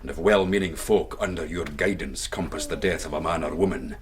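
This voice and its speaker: An actor playing a bold, shrewd, commanding fugitive soldier with a Scottish accent and a theatrical streak, in period radio drama. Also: male voice, rumbling low, fairly quick, background noise. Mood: stern, commanding.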